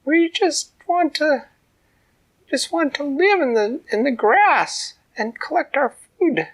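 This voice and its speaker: A man voicing a tiny, brave female ant who speaks up loudly and politely in a very high squeaky voice. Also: expressive, slow, heavily acted, low-quality recording. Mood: pleading. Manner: loud, shouting to be heard. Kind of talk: bedtime storytelling.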